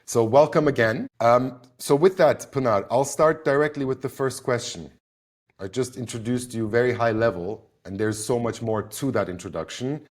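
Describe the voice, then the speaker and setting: A man's voice: medium pitch, moderate pace. A man hosting an interview podcast, introducing a guest and asking questions. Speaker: podcast host.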